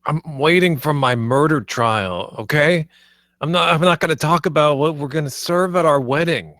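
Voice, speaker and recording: A man's voice: fairly high pitch, normal speed, fairly bright tone, no room sound, clean studio sound. Man reading as a brash, cocky guy. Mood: exasperated. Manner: brash, slangy. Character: cocky, crude young man awaiting murder trial. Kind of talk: table read of a comedy script.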